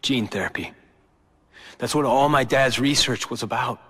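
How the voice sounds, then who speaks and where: A man's voice: mid-range voice, moderate pace, bright, forceful tone, slightly rough. A man with an American accent voicing an embittered, brooding young corporate heir in a video game cutscene. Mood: somber. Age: young adult. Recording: long hall-like reverb. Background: background music.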